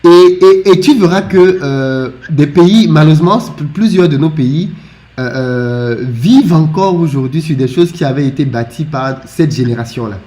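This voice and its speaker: Animated man with stammered restarts. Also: on the high side, normal speed. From Africa. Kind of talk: casual discussion.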